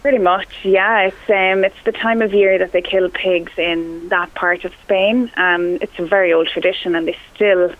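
A woman's voice: medium pitch, steady tone, normal speed, hardly any pauses. A woman from Kerry with an Irish accent, whose first language is Irish, answering interview questions modestly and plainly. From Ireland. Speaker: phone-in guest. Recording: tinny phone line.

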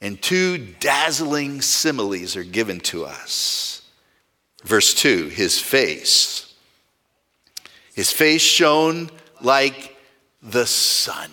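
A man's voice: mid-range voice, big pitch swings, very slow, bright, forceful tone. A male pastor preaching a sermon in a conversational way, asking the congregation rhetorical questions.

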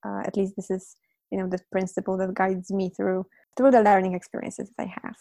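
A woman's voice: medium pitch, little pitch movement, a little fast, gravelly, no echo. A woman in her thirties, a native Polish speaker, reflectively answering interview questions about teaching and learning, thinking aloud as she goes. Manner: reflective, thinking aloud with fillers and soft laughs. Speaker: interview guest, language teacher and psychologist.

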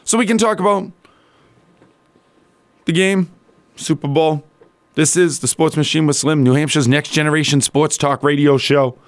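A man with a slightly rough, strained voice hosting a sports talk radio show in a casual, rambling, conversational way. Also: a little high, fairly even intonation, moderate pace, long pauses, dry recording. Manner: colloquial, rambling, conversational asides.

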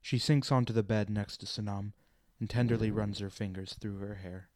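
Low-voiced male narrator, dry sound. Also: steady tone, moderate pace, a little mellow, no echo. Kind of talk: scripted narration of a radio play.

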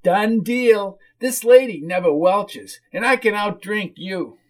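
A man's voice: high-pitched, slow, voice pushed up. A man voicing a whiny, chatty woman in her fifties with a brash, playful, familiar manner in a story reading.

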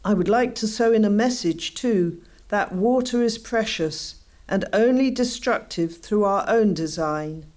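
Woman acting an earnest, mid-pitched campaigner. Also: unhurried, a little mellow, close to an everyday voice. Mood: resolute. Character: earnest townswoman urging care for water. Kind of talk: scripted community radio play.

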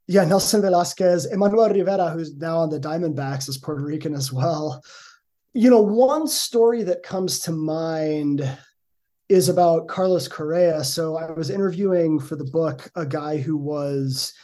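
Middle-aged man, mellow, thoughtful, many fillers, podcast guest. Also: a little high, moderate pace. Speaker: guest, linguistics professor. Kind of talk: conversational interview answers.

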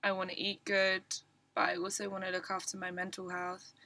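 A woman's voice: medium pitch, monotone, fairly quick, bright, forceful tone. A young woman co-hosting a podcast, chatting casually, giggly and self-mocking with frequent ums. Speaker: podcast co-host. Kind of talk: casual chat.

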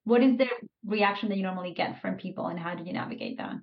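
A podcast host asks long, winding questions in a middle-pitched voice. The sound is dull and lo-fi, like a remote call. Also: female voice, fast. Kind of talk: interview questions.

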